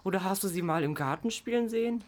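Easygoing parent played by a female performer, mid-pitched. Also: animated, fast, natural voice. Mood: casual, puzzled. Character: easygoing parent, practical and conciliatory. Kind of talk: amateur school radio play acting.

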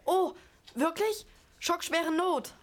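A high female voice acting a bossy, protective child. The delivery is dramatic, in a school radio play with faint noise. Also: slow. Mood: mock innocent.

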